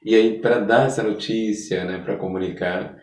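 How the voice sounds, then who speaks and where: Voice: low-pitched, steady tone, moderate pace. A podcast host with a Brazilian accent, interviewing a guest in a casual, conversational way. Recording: echoey room.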